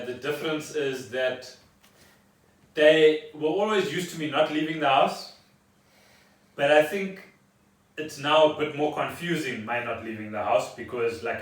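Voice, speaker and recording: Male podcast co-host, mid-pitched, casual chat, recorded off-mic in an echoey room. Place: indoors at home. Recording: roomy, distant from the mic.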